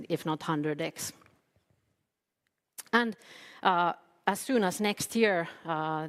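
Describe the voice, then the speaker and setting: A woman from Finland giving a conference talk, hesitant, with many fillers and restarts. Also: deep voice. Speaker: keynote speaker. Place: event hall. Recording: long hall reverb.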